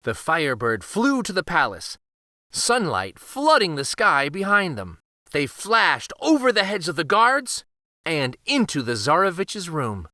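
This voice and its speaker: Male children's story reader: unhurried, very expressive, bright tone, dry close recording, dramatic picture-book delivery. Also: a little high, dry recording. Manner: expressive, deliberate, theatrical.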